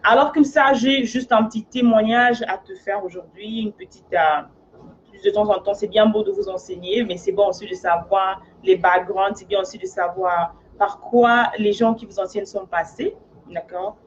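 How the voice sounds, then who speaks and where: A woman's voice: medium pitch, normal speed, highs cut off, slight background noise. A woman from Africa who works as a life coach, talking in an earnest, casual monologue and often checking in with her listener.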